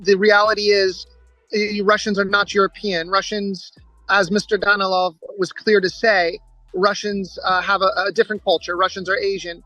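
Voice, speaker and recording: A woman's voice: mid-range voice, level tone, a little slow, a bit bright, no echo. A woman speaking as a spokesperson on a call, making emphatic, declarative political remarks. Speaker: former military spokesperson. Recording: dull lo-fi call audio with music.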